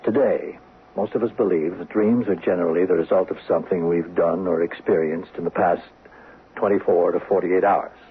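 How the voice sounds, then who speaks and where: An actor playing a frank, calmly authoritative psychiatrist in a 1970s radio drama, questioning with measured pauses. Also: male voice, low-pitched, slightly rough. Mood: frank, explaining. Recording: thin narrow-band vintage radio broadcast.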